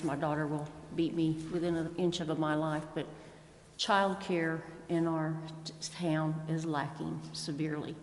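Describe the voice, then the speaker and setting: A middle-aged woman making informal, slightly rambling remarks as a council member at a public meeting. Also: fairly low pitch. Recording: echoey meeting chamber with background noise.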